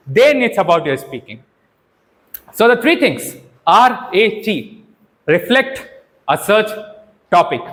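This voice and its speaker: High-pitched man leading a speaking workshop. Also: poor audio. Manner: animated, instructive, asks rhetorical questions and checks in. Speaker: workshop trainer leading a public speaking session. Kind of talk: interactive speaking and voice training workshop.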